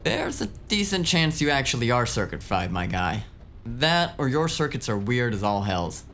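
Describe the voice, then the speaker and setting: A man's voice: medium pitch, sing-song, normal speed, a bit bright, slight background noise. A man acting as a cocky male cyberpunk hacker with a mid-range voice. He is flippant and slangy, a streetwise sidekick in an audio drama, heard over light background ambience. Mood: teasing, brisk.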